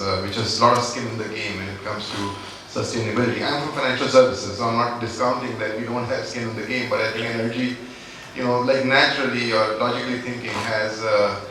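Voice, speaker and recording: A man from India moderates a panel from a distance, his bright, forceful voice ringing through a large echoing hall. He talks politely and hesitantly, with plenty of fillers, over a faint hiss. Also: mid-range voice. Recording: echoey hall from far off.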